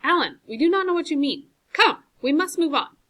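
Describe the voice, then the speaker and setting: A woman's voice: high-pitched, expressive, a little fast, a bit bright, voice pushed up. A woman reading as an urgent, demanding woman traveler.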